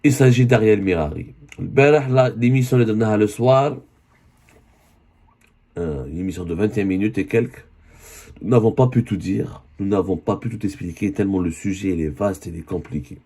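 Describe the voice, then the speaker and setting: A man with a voice hoarse from a cold, giving an earnest spoken news commentary and often correcting himself. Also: lowish voice, normal speed, mellow. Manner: earnest, with many self-corrections.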